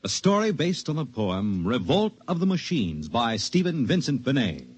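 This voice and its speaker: A man's voice: medium pitch, sing-song, normal speed, slight background noise. A man announcing a 1950s radio show in a portentous, theatrical, dramatic style. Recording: muffled 1950s radio, loud music behind.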